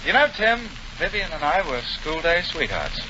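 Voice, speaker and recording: A man's voice: a little high, sing-song, moderate pace. An actor playing a nervous, evasive male schemer who stammers out excuses with put-on cheer, in 1940s radio comedy style. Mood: glib. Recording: muffled, noisy 1940 radio broadcast.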